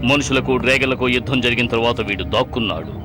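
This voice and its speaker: A menacing cartoon villain, acted by a man, scheming and commanding, out to make slaves of everyone. His mid-pitched voice sits under very loud music. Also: racing, natural voice. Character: scheming male villain bent on enslaving others. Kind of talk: dubbed cartoon acting.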